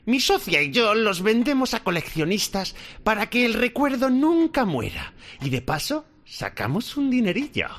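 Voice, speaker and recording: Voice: mid-range voice, very animated, normal speed, a bit bright. An actor playing a sly, melodramatic, hypersensitive smuggler in a radio drama, whining and self-righteous.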